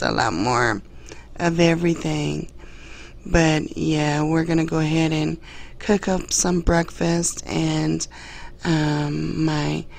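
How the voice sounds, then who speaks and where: A hoarse middle-aged woman hosting a casual cooking vlog in her home kitchen, talking softly with frequent ums. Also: sits low. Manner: soft-spoken with frequent ums. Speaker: channel host. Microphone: camera mounted above the stove.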